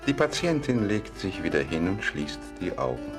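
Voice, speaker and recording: A low male voice narrates a radio play over loud music. He is a composed, wry announcer, measured and ceremonious, as if presenting a stage scene. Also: big pitch swings.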